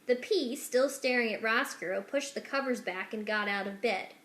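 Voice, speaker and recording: A woman narrates a children's book expressively from the page. Her voice sits on the high side. The recording has a strong room echo. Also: moderate pace, fairly bright tone. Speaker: children's story reader. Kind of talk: expressive scripted storybook narration. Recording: echoey room.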